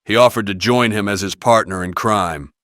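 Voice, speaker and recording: A man's voice: on the low side, moderate pace, fairly bright tone, no echo, studio quality, lightly acted. A man voicing a cocky, streetwise hero in a plain-spoken game monologue. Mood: wry resolve. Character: cocky, streetwise male hero recalling his past. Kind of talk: fan-made game character monologue.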